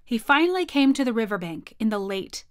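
A woman's voice: a little high, sing-song, fairly quick, no echo, studio quality. A woman, narrating a children's book expressively. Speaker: storyteller. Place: small home room with bookshelves.

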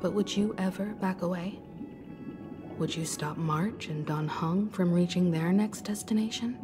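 A woman's voice: lowish voice, measured pace, natural voice. An actress playing a composed, reflective mature woman in a game. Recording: game audio with music behind.

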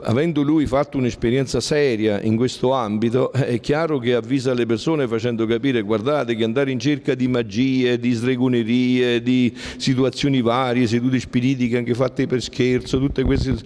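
Bearded friar in an echoing hall. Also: male voice, medium pitch, steady tone, moderate pace, hardly any pauses, darkish tone. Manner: earnest, hesitant with fillers and restarts. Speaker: friar and exorcist. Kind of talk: interview answers.

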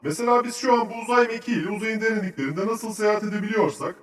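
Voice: mid-range voice, fast, distant mic, close to an everyday voice. An actor voicing a knowledgeable, cheerful cartoon researcher who explains things patiently and articulately to children. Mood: patient explaining. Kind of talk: children's educational cartoon dubbing. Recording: roomy echo, music behind.

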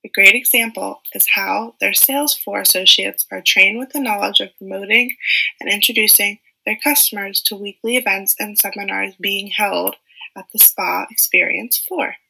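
Bright, gravelly woman reading a script. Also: mid-range voice, steady tone, normal speed, rough recording. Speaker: student presenter. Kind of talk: scripted narration of an animated case study.

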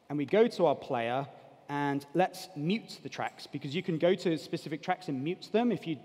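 A man in his thirties with a slightly high voice presents a live demo on stage. He explains things in an informal way, and a long hall reverb follows his words. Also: a little mellow. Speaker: conference presenter. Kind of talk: live software demo talk. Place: large indoor conference stage.

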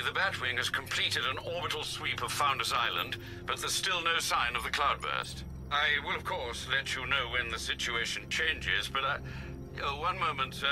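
An actor playing an older, dutiful English butler in a video game, formal, polite and precise. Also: male voice, medium pitch, expressive, normal speed, over music, lightly acted, rough recording. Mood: measured, worried. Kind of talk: video game dramatic acting.

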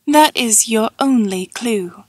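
A woman's voice: fairly high pitch, slow, no echo, clean studio sound. A woman playing an ancient, all-knowing sphinx in a fantasy audiobook, speaking in a dreamy, formal drone with cool menace. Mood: imperious. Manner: dreamy, droning monotone, formal and cryptic.

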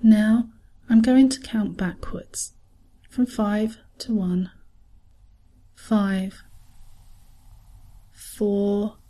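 A woman narrating a scripted guided visualisation in a calm, soothing, soft voice with breathy edges and long pauses. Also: mid-range voice.

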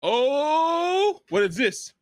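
A man's voice: extremely high pitch, sing-song, extremely slow, no room sound. A young man giving casual, exclamatory, sweary reaction commentary on a film into a close studio mic. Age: young adult. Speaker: reaction video co-host. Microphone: close studio mic on boom arm. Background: film music.

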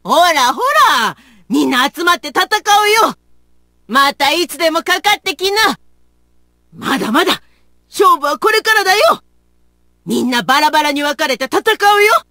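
Bright, plucky big-sister warrior. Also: female voice, high-pitched, lively intonation, moderate pace, dry recording, clean studio sound, full character voice. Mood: rousing. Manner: folksy, motherly tough turns of phrase. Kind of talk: video game battle voice lines.

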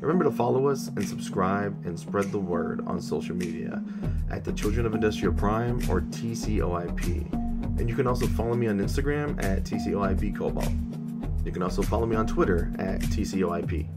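A man's voice: on the low side, brisk. A man reading a scripted podcast outro as host, addressing listeners directly. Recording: loud music behind the voice.

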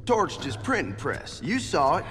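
An actor playing a folksy, rough-and-ready rebel outlaw in a video game. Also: male voice, fairly high pitch, huge pitch swings, unhurried, fairly bright tone, faint music behind, exaggerated voice. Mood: grim, matter-of-fact. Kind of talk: video game western acting.

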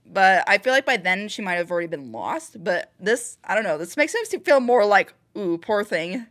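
A woman's voice: medium pitch, sing-song, normal speed, a bit bright. A woman hosting a reaction video, thinking aloud in rambling, casual commentary with bursts of exclamation.

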